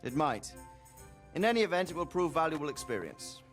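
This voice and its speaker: An actor playing a formal, authoritative middle-aged noble knight in a medieval video game, speaking sternly. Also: male voice, a little high, very animated, fairly quick, soft background music. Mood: stern, persuasive. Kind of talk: video game drama acting.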